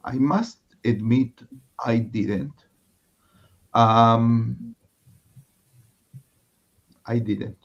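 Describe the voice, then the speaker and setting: An Israeli man, a native Hebrew speaker, talks as a guest rabbi in reflective conversation. His accent is Israeli and his pitch is average for a man. He speaks haltingly, feeling for each word, on slightly dull, muffled audio. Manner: halting, searching for words.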